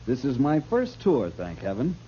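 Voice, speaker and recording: An actor playing a haughty, demanding army major with a husky voice and clipped manner in a radio western. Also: male voice, mid-range voice, huge pitch swings, normal speed, mellow, slight background noise. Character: stiff, haughty army major, new to frontier. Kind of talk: 1950s radio western acting. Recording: dull vintage radio recording.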